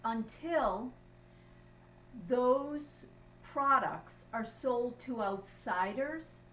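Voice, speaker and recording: Slow-paced woman teaching in an echoey room. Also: mid-range voice, a bit bright. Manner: didactic, emphatic, checks understanding with "okay". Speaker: accounting instructor. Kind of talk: step-by-step lesson explanation. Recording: roomy, distant mic, faint hum noise.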